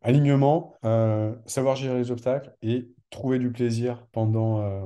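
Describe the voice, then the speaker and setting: A man's voice: medium pitch, fairly even intonation, moderate pace, dark tone. A man hosting an interview as a business coach, speaking with frequent hesitations and restarts. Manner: hesitant, many fillers and restarts.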